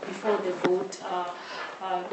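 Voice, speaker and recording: A woman with a South African accent answering interview questions in a halting way, often repeating words. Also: on the low side, level tone. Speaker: interviewee, audio drama creator. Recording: roomy echo, distant mic, faint noise.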